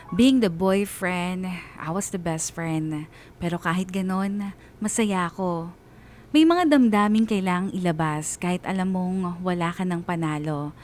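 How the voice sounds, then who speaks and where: A woman's voice: lowish voice, slight background noise. A woman with a Filipino accent giving a scripted, dramatic first-person story narration for radio. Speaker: radio DJ reading a listener's story.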